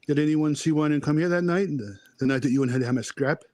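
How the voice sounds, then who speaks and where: Woman acting low-voiced, suspicious tough guy. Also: quick pace, darkish tone, dry recording, close to an everyday voice. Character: suspicious, tough male gangster associate. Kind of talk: 1930s radio acting.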